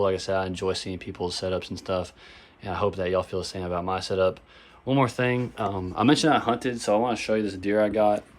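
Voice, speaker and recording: A young man, hoarse, talking casually and swearing as he shows his gaming setup in a phone-recorded vlog. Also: sits low. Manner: casual, swears often, lots of fillers. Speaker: gaming vlogger. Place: bedroom at home. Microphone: phone camera.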